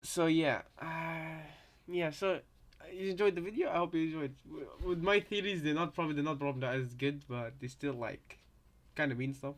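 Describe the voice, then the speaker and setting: Higher-voiced man rambling on a live stream. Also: steady tone, moderate pace. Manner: hesitant, rambling, repeats phrases. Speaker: live streamer reacting to game content. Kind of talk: casual live stream reaction chat. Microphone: headset.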